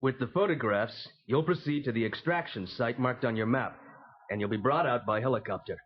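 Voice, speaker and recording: A man playing a steady, authoritative military commander giving a matter-of-fact briefing in a children's audio story. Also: medium pitch, fairly quick, husky, lightly acted. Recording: dull vintage cassette.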